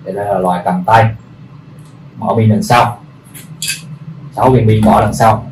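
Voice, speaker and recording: A man presenting products in an unscripted demonstration, explaining in a halting, repetitive way as he searches for words. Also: on the low side, fairly even intonation. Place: indoor room full of audio equipment. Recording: roomy, distant mic, faint background noise.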